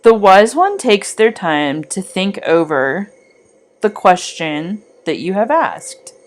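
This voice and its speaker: Slow-paced woman guiding a meditation. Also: mid-range voice, very animated. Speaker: guided meditation reader. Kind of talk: scripted guided meditation narration.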